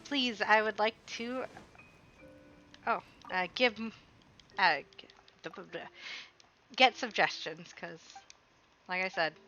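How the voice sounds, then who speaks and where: Woman streamer, bright crisp tone, rambling casual chat over gameplay. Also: medium pitch, takes it slowly, long pauses, muffled recording, soft background music. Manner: rambling, talks back to the game as she plays. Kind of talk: casual chat while gaming.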